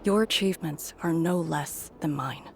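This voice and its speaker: A grave, low-voiced veteran leader. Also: female voice, gravelly, slight background noise. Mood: solemn, tender. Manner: measured, solemn, terse. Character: grave, resolute veteran woman leader, scarred survivor. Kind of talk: scripted video game dialogue.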